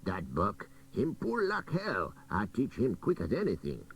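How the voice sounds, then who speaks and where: A male reader plays a dog driver from an adventure storybook. He is blunt and approving, speaking broken English with swooping pitch. The old taped radio recording sounds a little distant, with faint hiss. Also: huge pitch swings, a little slow, exaggerated voice. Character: French-Canadian male sled dog driver, plain-spoken and approving. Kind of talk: character voice in audiobook reading.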